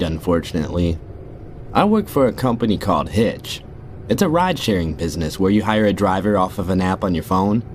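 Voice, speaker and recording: Jaded male rideshare driver, played by a man, audio drama narrator, wry and conversational, lowish lively delivery over clear street noise. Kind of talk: first-person scripted audio drama narration. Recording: noisy, car and street ambience behind.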